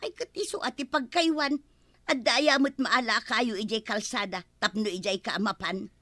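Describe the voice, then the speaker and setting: A woman playing a nagging, sharp-tongued village wife in a Filipino radio comedy drama. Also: on the high side, moderate pace, dry recording.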